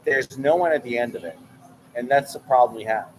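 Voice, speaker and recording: A man's voice: medium pitch, a little fast, soft background music, rough recording. A man from New York, a military analyst who hosts a channel, talking casually and with opinions in a bar, often repeating words while he thinks. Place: bar, ambient noise.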